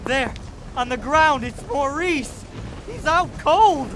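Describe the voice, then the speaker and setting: High-pitched jittery young henchman played by a man. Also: slow pace, heavily acted. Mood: nervous, alarmed. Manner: excitable, nervous. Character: jittery young male gangster henchman with a gun. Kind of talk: superhero audio drama acting. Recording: loud ambient noise under the voice.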